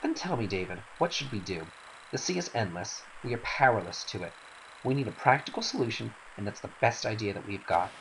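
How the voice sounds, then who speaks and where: A stern, pragmatic woman of a fantasy game, acted out by a man. The delivery is theatrical and firm, on the low side, with lively swings in pitch and a faint hiss behind it. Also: brisk, rough recording. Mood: brisk, commanding. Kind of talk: dramatic reading of game cutscene dialogue.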